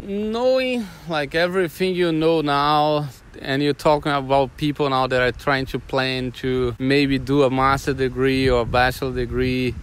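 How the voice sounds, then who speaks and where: A man with a Brazilian Portuguese accent hosts a casual interview, speaking haltingly as he searches for words. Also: mid-range voice, fairly even intonation, a little hiss or hum. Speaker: host.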